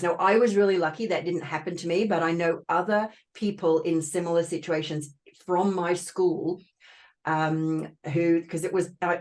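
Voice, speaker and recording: A woman with an Australian accent softened by British vowels speaks in a lowish, even voice. She explains things earnestly as an interview guest, pausing on fillers and restarting her sentences. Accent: Australian with a British touch. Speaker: podcast guest, trauma expert. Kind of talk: casual interview talk.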